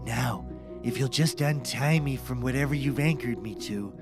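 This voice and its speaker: A man's voice: medium pitch, normal speed, over music, lightly acted. A man playing an earnest, easily exasperated mad scientist in a scripted audio comedy, grave, urgent and theatrical. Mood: relieved, triumphant.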